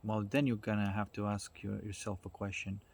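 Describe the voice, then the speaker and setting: Male speaker, lowish pitch, informal explainer. Thoughtful, halting phrasing with conversational tags. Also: level tone, vocal fry, a little mellow, slightly rough. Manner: reflective, halting delivery with checking tags like "right?" and "you know". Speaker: speaker giving a self-development talk.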